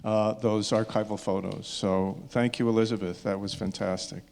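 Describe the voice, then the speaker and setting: A man's voice: on the low side, flat delivery, normal speed, vocal fry, a little mellow. A man making casual, spontaneous remarks at a public discussion. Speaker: panel participant.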